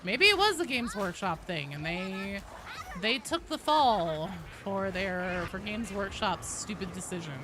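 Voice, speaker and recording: A woman talks casually to her stream viewers while gaming. Her voice sits at an ordinary middle pitch, and her delivery is frank and informal. Clear ambient noise, like vehicles, runs behind her. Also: lively intonation, unhurried. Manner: candid, informal, swears casually. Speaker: game streamer. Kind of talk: casual livestream chat with viewers.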